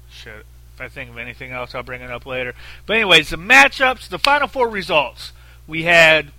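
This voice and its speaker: A man in his thirties hosts a solo podcast with a very nasal, bright, sharp-edged voice that sits a little high for a man. He talks bluntly, swears freely and rambles with lots of fillers. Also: no room sound, rough recording. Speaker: podcast host. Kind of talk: casual solo podcast talk.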